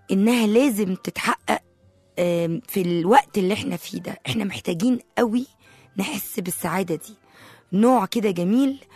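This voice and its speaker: A woman with an Egyptian accent and a slightly hoarse voice, chatting playfully and directly to listeners as a live radio host. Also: medium pitch, no room sound. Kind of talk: casual live radio talk. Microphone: close studio microphone.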